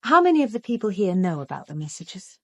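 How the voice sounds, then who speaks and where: A tactful private detective in a British radio drama. She has a British accent and a mid-pitched voice in a dry recording. Her delivery is understated, polite and measured, quietly pressing for answers. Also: very animated, no echo, muffled recording. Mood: polite, probing. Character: composed, tactful, probing young female private detective. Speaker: actress in an audio drama.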